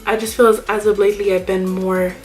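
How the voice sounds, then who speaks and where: A woman's voice: mid-range voice, level tone, fast, a little mellow, faint music behind. Rambling young woman vlogger. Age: young adult. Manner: rambling and self-reflective. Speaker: YouTuber. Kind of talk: casual vlog chat.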